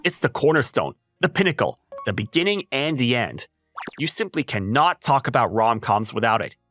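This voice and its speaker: An exasperated high school boy acted by a man with a highly animated, swinging delivery. He is a flustered, introverted young writer from an anime comic dub who lectures dramatically, with music playing underneath. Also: moderate pace. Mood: confident lecturing. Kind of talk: anime-style comic dub acting with narration.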